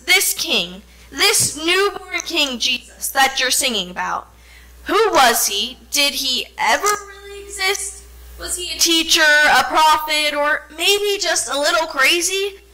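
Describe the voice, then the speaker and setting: A woman's voice: high-pitched, lively intonation, unhurried, bright, forceful tone, full character voice, rough recording. A woman playing a skeptical female investigator in a church musical, quizzing and demanding proof. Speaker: actress in a church children's musical. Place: church stage before an audience.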